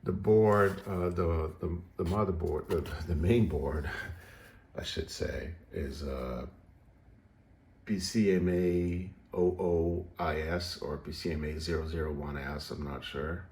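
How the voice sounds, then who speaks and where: A man giving a casual, unscripted show-and-tell as a hobbyist, hesitant and halting as he thinks aloud. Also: sits low, fairly even intonation, a little mellow, slight background noise. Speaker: radio hobbyist presenting a project.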